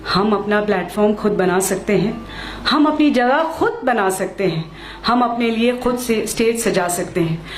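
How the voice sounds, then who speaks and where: A woman's voice: medium pitch, expressive, moderate pace, reverberant, a little hiss or hum. A woman from Maharashtra giving a public speech, mixing Hindi with English phrases and repeating lines for emphasis. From Maharashtra, India. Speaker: lawyer giving a speech at a function.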